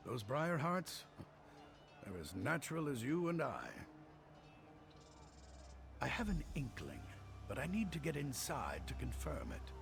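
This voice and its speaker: A man's voice: medium pitch, sing-song, a little slow, gravelly, pauses often, soft background music. A man voicing an anxious, bookish alchemist in a video game, earnest and scholarly but cowardly.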